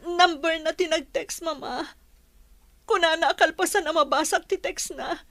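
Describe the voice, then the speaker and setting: A woman's voice: extremely high pitch, normal speed, a bit bright, no echo. A woman playing a sensitive young woman in a Filipino audio drama. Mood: tearful, hurt. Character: sensitive young woman, loyal friend, easily hurt in love.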